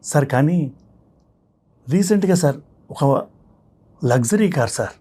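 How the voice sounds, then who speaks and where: A man's voice: on the high side, lively intonation, a little slow, warm tone, breathy edge. A man from Visakhapatnam giving an earnest, respectful personal account as a guest on a talk show. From Visakhapatnam, India. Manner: respectful, frequently addressing hosts as sir or madam. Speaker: guest telling his problem on a counselling show.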